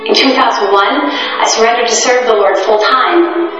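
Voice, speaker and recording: A woman's voice: on the high side, moderate pace. A woman in her forties, a missionary wife, giving a sincere, earnest scripted personal testimony. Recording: echoey, distant, muffled, with music. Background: background music.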